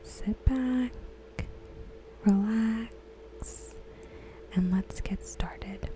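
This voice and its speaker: A woman host reading aloud in a soft, breathy near-whisper for ASMR, gentle, unhurried and soothing, close to the microphone. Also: on the high side, faint music behind.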